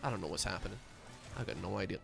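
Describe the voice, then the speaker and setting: A man's voice: low voice, very fast, gravelly, fairly bright tone, soft background music. A Scottish man with a Glaswegian accent and a lisp, mumbling and rambling in casual chat while streaming a game. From Scotland. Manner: mumbling, rambling with many fillers.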